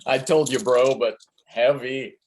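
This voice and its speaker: A man playing a goofy, excitable bro of a sidekick in a comedic script reading. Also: medium pitch, measured pace, natural voice. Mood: teasing. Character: goofy, excitable dude sidekick named Steve. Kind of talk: comedic script table read.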